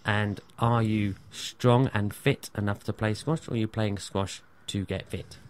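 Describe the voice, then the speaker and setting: A man's voice: fairly low pitch, fairly even intonation, unhurried. A middle-aged man, a fitness trainer, explaining things casually as a radio guest. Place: radio studio.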